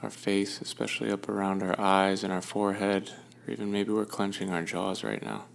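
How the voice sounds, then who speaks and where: A man leading a guided meditation with gentle, soothing instruction and unhurried pauses. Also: fairly low pitch, gravelly, slight background noise.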